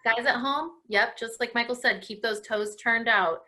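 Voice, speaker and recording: A woman's voice: on the high side, moderate pace, bright, forceful tone. A woman leading a live stretching lesson for viewers at home in an encouraging way, with frequent praise. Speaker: dance class host leading children's stretches.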